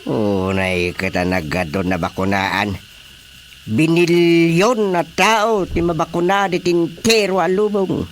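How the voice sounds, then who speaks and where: A man acting an excitable, chatty village companion in a radio comedy drama, teasing and exclamatory, with an Ilocano Filipino accent. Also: on the high side, slow, a little hiss or hum.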